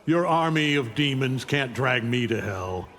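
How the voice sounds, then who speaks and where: A domineering, mocking brute from a video game. He talks bluntly and aggressively at a middle pitch, ringing in a long reverb over faint music. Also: animated, a little slow, a bit bright. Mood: scornful threat. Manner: blunt, threatening. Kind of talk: video game villain acting.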